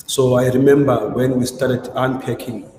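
A man's voice: mid-range voice, steady tone, normal speed, warm tone. A man from South Africa, an artist, telling his story in an interview, hesitant with many restarts. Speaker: ceramic artist. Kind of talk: conversational interview. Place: gallery showroom, indoors. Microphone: video call. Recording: roomy echo with faint noise.

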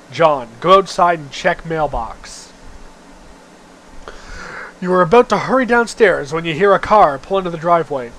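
A man's voice: a little high, a bit bright, poor audio. A young man giving an amateur, theatrical read-aloud of a story, with the odd stumble. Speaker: reader of a webcomic read-aloud.

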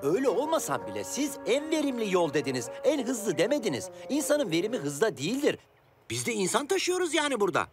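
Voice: medium pitch, wildly swooping pitch, quick pace, over music. A performer voicing a cheerful, curious young car in a children's cartoon, playful, talkative and cheeky. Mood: cheeky, protesting. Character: cheerful, chatty, curious young red self-driving car. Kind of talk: animated children's cartoon acting.

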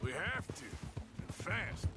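An actor playing a gruff, world-weary American outlaw gunman in a Western video game, terse and blunt with a drawl. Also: a little high, very animated, slow. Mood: weary, matter-of-fact. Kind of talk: video game dramatic acting. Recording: game music and horse hooves behind.

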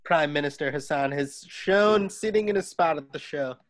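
A man narrates screenplay directions during a casual table read. His voice is very high for a man, recorded at home on a video call. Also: moderate pace. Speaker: stage direction reader.

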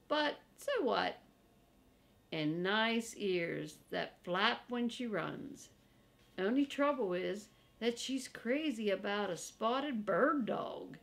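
Slow-paced older woman reading a story. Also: medium pitch, gravelly. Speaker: storybook reader for children. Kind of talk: scripted first-person story narration read aloud. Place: small furnished room indoors, seated in an armchair.

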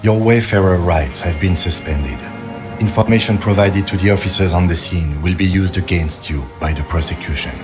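Male police lieutenant actor, game role: very low voice, composed, procedural, dutiful, dry. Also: normal speed, over music, natural voice. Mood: stern, formal. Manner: formal, procedural, matter-of-fact.

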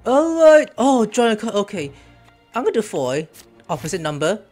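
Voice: mid-range voice, sing-song, normal speed, darkish tone. A streamer giving casual gameplay commentary, playful and exclamatory, recorded in a room at home. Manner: playful, crude joking, exclamatory. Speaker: gaming streamer. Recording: game music behind.

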